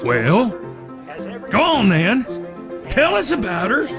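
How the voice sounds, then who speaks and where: A man's voice: extremely high pitch, huge pitch swings, takes it slowly, music in the background, full character voice. A man playing a folksy, wry older cowboy brother with an American Western drawl, ribbing his kin in an audio drama. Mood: wry teasing. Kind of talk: audio drama acting, Old West dialogue.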